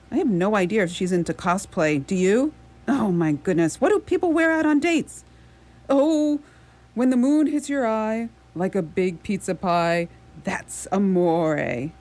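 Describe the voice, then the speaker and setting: A woman's voice: mid-range voice, unhurried, darkish tone, close to an everyday voice. A woman in a radio theatre play acting a lonely, nervous superhero cosplayer, theatrical, dithering and full of self-questioning. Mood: giddy, excited. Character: lonely, nervous young superhero cosplayer reciting poetry on a rooftop.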